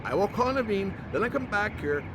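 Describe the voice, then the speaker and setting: A man's voice: sits high, big pitch swings, a little fast. A man playing a sarcastic, exasperated twin brother, the sensible one, in a comedy audio drama. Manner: sarcastic, needling. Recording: loud car and street noise behind.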